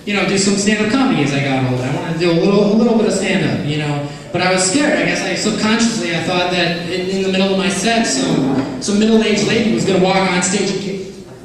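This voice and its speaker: A young man from Chicago doing a rambling, self-mocking stand-up set on a comedy club stage. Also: high voice. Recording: echoey club room, distant mic.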